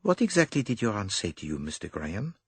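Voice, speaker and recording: A man's voice: medium pitch, huge pitch swings, normal speed, slightly rough, dry recording, voice pushed up. A man voicing a fussy, silky detective with a put-on French accent. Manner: precise, silky, probing.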